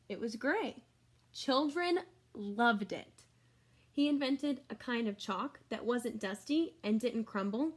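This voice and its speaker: A woman schoolteacher reading a picture book aloud to children, expressively, with chatty explanatory asides to her young listeners. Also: fairly high pitch.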